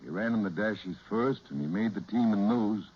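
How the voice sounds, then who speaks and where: An actor playing a regretful track expert who explains things plainly in 1940s radio style. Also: male voice, lowish voice, lively intonation, normal speed, lightly acted. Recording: muffled, faintly noisy 1940s radio.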